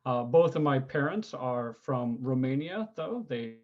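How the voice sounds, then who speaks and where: A California man in his forties, speaking, answers interview questions in a relaxed, reflective way. His voice sits in a middle register and comes through slightly dull and lo-fi. He often pauses and restarts his sentences. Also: mid-range voice. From United States, California. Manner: thoughtful, unhurried, frequent ums and restarts. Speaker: interview guest, historian. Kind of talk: conversational interview.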